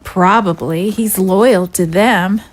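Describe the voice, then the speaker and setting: A woman's voice: medium pitch, slow. A woman playing a worried, faltering young girl in an audio drama. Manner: hesitant, faltering. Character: hesitant, worried young girl guarding zombie prisoners.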